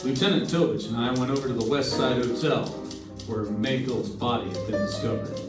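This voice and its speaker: A man's voice: mid-range voice, brisk, close to an everyday voice. A man playing a dogged, wry insurance investigator in an old-time radio detective drama. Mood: dry narration. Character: Johnny Dollar, dogged male insurance investigator, wry and probing. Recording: echoey stage, distant mic, faint music.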